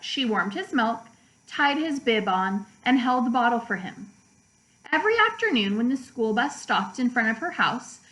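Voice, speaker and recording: A woman narrating a children's book aloud like a teacher, with measured, deliberate phrasing for young listeners. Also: a little high, fairly bright tone, low-quality recording. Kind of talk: storybook read-aloud narration.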